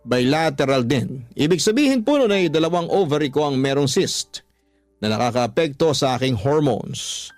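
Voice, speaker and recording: A man's voice: fairly high pitch, animated, normal speed, no room sound, soft background music. A man narrating a personal story in the first person, in a scripted radio storytelling style. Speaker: storytelling host reading a listener's letter.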